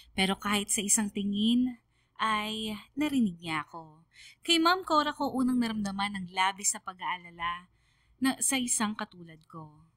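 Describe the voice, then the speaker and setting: A woman voicing a quiet, grateful senior high schoolgirl who tells her story on radio, earnest and reflective, with a Filipino accent. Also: no room sound, far from the mic. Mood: grateful, tender. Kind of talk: dramatized first-person radio storytelling. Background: music.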